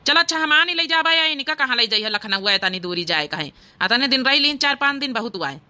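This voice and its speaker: A woman voicing a practical, brisk village elder brother in a homemade comedy cartoon, with an Awadhi accent and a matter-of-fact, hurrying manner. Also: high-pitched, lively intonation, sharp tone, voice pushed down, full character voice. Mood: agreeable.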